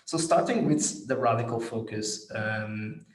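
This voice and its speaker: A man's voice: medium pitch, unhurried, a little hiss or hum. A man hosting a business podcast, introducing, explaining and putting questions to a guest. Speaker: podcast host. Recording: roomy, echoing sound.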